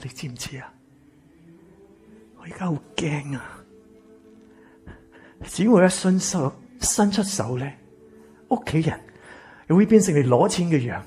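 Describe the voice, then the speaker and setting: An actor playing a wounded, embittered rich man in a Cantonese radio drama, with a Hong Kong accent and a breathy, husky voice. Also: a little high, animated, unhurried, pauses often, mellow. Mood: fearful, angry. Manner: intense, dramatic. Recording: music behind the voice.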